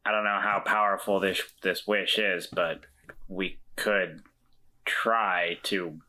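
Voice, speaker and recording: A man's voice: deep voice, steady tone, slow, pushed and bright. A man playing a tabletop role-playing game in character, earnest and a bit hesitant, with wry asides. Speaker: player.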